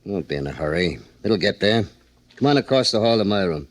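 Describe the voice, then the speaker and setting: An actor playing a tough, menacing drug dealer in 1940s radio crime style, terse and commanding. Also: male voice, sits low, animated, brisk. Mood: firm, threatening. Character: menacing hard-boiled male drug dealer, Big Jim.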